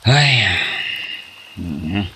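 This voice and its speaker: A man playing a poor, earnest, lovelorn suitor in an Ilocano comedy drama, with a rough voice and an Ilocano accent. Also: deep voice, lively intonation, drawn-out words, bright, forceful tone, full character voice. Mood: wounded.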